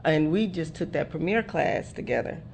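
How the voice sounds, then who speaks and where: A lower-pitched woman leads a relaxed radio conversation, laughing as she asks her guests questions. She draws out her phrases, and there is noticeable hiss behind her voice. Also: moderate pace, creaky. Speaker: radio show host. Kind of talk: casual studio chat. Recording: noisy studio recording.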